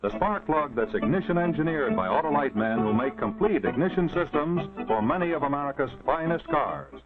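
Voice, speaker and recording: A man's voice: a little high, lively intonation, brisk. A man announcing a sponsor message in formal, declamatory 1940s broadcast style. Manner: formal, declamatory sales delivery. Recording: tinny 1949 broadcast soundtrack, loud organ music.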